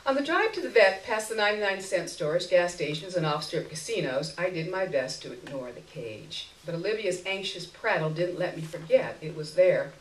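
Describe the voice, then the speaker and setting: Animated woman reading fiction, echoey room. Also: fairly low pitch, moderate pace, hardly any pauses, slight background noise. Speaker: story reader. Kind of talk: expressive live reading of literary fiction. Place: indoor live reading event. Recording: echoey room, miked from a distance.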